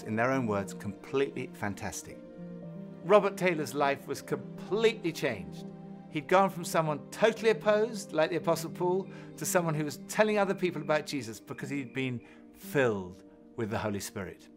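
A man's voice: a little high, expressive, normal speed, music in the background. A man gives an earnest Bible teaching talk, telling stories and acting out quoted voices. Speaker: course presenter. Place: home-like room indoors.

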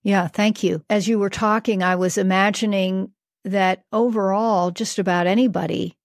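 A woman's voice: mid-range voice, steady tone, moderate pace, no echo, clean studio sound. A woman hosting an interview podcast, speaking fluently as she poses her questions. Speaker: podcast host.

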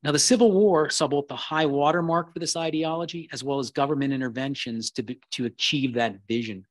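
A man giving a keynote history lecture, reading in a measured way with occasional restarts. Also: a little high, dry recording. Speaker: keynote speaker.

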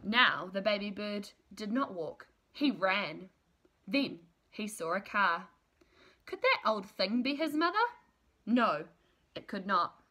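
A woman in her thirties reading a children's storybook aloud in an expressive, deliberate, emphatic way. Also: mid-range voice. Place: small room at home, indoors.

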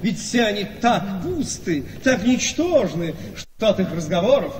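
An actor playing a self-satisfied, suave husband in a radio comedy, theatrical and full of mock-earnest flattery. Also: male voice, high voice, a little slow, slight background noise. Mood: flattering. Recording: distant in reverberant hall, crowd sound.

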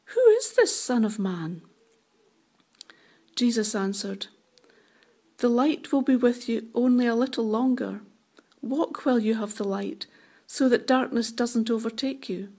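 A woman reads scripture as part of a church service. Her voice sits a little high. The delivery is formal, measured and deliberate. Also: dark tone. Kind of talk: formal Bible reading.

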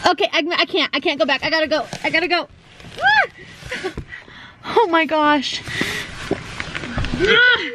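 A woman's voice: extremely high pitch, expressive, unhurried, pauses often, noisy background. A woman in her thirties, a mom filming a family vlog, short of breath and nervously talking herself through a cramped cardboard tunnel, with the camera held close to her face. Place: inside a small cardboard tunnel. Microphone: handheld camera close to face.

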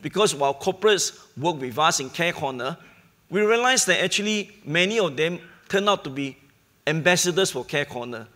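A middle-aged man, a charity leader speaking on a conference panel, in an earnest, measured way with pauses and restarts, on a lapel mic. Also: a little high, animated, a bit bright. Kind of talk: panel discussion. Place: conference hall stage.

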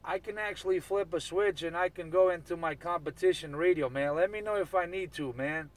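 A man's voice: sits high, a little fast, background noise. A man talking casually in CB radio slang, repeating catchphrases as he operates and records. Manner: repetitive, chant-like catchphrases.

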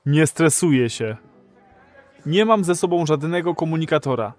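An actor playing a nervous, naive first-time client in an underground, hesitant and earnest, in an audio drama. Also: male voice, on the high side, animated, normal speed, lightly acted. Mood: defensive, puzzled. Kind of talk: radio drama acting.

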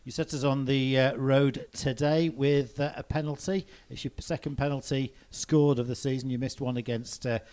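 A man's voice: medium pitch, steady tone, moderate pace, hardly any pauses, a little mellow. A man working as a club TV interviewer, recapping the game and asking a player questions, with hesitant fillers. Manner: summarising play, hesitant fillers. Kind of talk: post-match interview questions.

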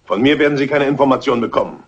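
A gruff, uncouth servant acted by a man, snapping out quick, curt lines in a mid-pitched voice. The dubbed film sound is dull and muffled. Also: expressive, natural voice. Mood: curt, defiant. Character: surly, uncouth manservant stable hand. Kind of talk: German film dub acting.

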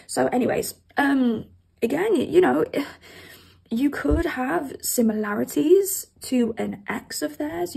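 A woman gives a chatty tarot reading. Her voice is croaky after a cold, with a gravelly, husky edge. She talks straight to her viewers. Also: on the high side, mellow. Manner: chatty, addresses viewers directly. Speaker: tarot reader. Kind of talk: pick-a-card tarot reading. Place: indoors at a table with cards and candles.

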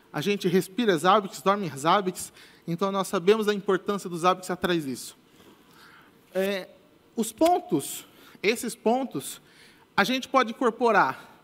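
High-voiced man speaking on a conference stage. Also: sharp tone. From Brazil. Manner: casual, repeats "tá?" as a tag, many restarts. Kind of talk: technical conference talk. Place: large conference stage with audience. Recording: long hall reverb.

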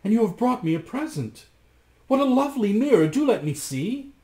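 A man voicing a lively, eager young woman in a fairy tale, with an exclamatory manner. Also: moderate pace. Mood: delighted. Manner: high, exclamatory. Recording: echoey room.

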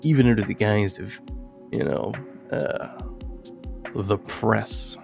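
Gravelly man, casual gameplay commentary. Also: fairly low pitch, takes it slowly, over music. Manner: musing, thinking aloud. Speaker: game streamer reviewing indie games.

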